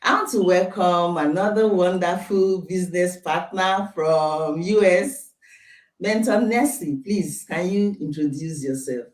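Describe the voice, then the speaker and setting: A woman from Nigeria hosting a live online talk show, speaking slowly and formally as she welcomes viewers. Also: on the low side, darkish tone, reverberant, recorded from a distance. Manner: slow, deliberate, formal welcomes.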